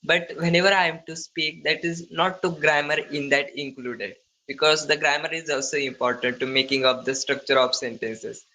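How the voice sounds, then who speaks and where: A man with an Indian accent practising conversational English, speaking haltingly in non-fluent phrases with broken grammar. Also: fairly high pitch, little pitch movement, sharp tone, a little hiss or hum, poor audio. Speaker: English learner. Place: online video call.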